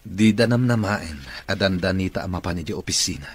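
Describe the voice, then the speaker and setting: Guy acting as firm ilocano boss man, really low voice, bossy, radio drama. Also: a little fast, a little hiss or hum, natural voice. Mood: commanding, resolved. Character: firm, commanding male boss in a wheelchair.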